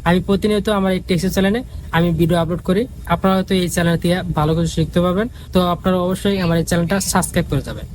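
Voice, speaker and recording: A man's voice: sits high, steady tone, racing, a little mellow, a little hiss or hum, rough recording. A man giving a casual, repetitive step-by-step app tutorial as the host. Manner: repetitive, instructional.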